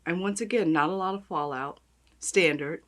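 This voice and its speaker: A woman with a raspy voice, chatting and gushing as she does her makeup on camera. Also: lowish voice, normal speed, fairly bright tone. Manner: gushing, chatty, talks while applying makeup. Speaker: beauty vlogger. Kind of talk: makeup tutorial chat.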